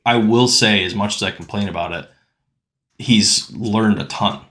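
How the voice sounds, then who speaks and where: A man's voice: fairly low pitch, a little slow, sharp tone. An American man in his thirties chatting casually as a podcast co-host, in informal, slangy talk. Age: 30s.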